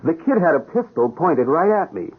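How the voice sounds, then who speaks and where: A husky, troubled police sergeant. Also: male voice, fairly high pitch, sing-song, moderate pace. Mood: troubled, defensive. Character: earnest, troubled police sergeant, ten years on the force. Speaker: actor in a radio mystery drama. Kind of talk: 1970s-style radio drama acting. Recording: tinny, band-limited old radio broadcast.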